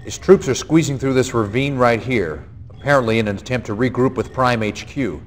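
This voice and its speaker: An actor playing a stern, earnest military general, giving a grave, emphatic mission briefing in a video game. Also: male voice, medium pitch, fairly quick, lightly acted. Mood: brisk, confident. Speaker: military commanding officer.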